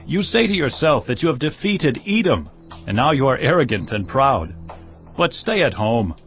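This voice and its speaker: A man's voice: medium pitch, very animated, normal speed. An actor playing a proud king who sternly lectures a rival in an audio drama. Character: proud older male king, warning and scornful. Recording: slightly muffled with music underneath.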